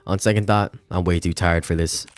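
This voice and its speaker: Guy playing a tired grumbly detective in a visual novel, deep voice, bit of music behind. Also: steady tone, brisk, clean tone, natural voice. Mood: exhausted.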